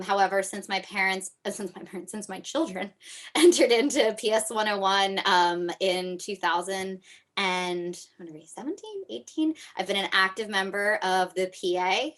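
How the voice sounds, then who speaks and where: A woman's voice: mid-range voice, a bit bright. A woman running for a school council, answering at a candidate forum over a video call, earnest and repeating her points.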